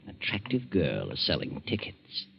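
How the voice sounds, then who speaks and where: An actor serving as narrator of a 1940s radio crime drama, reading scripted storytelling. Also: male voice, deep voice, huge pitch swings, moderate pace, creaky, exaggerated voice. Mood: ominous, measured. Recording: muffled 1940s radio recording.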